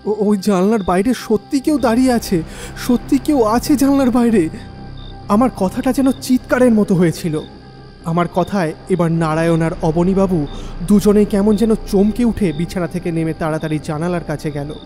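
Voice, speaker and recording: A man narrates a horror tale dramatically in a mellow, slightly high voice. Long reverb hangs on his words, with faint music underneath. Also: moderate pace. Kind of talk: dramatic first-person horror story narration.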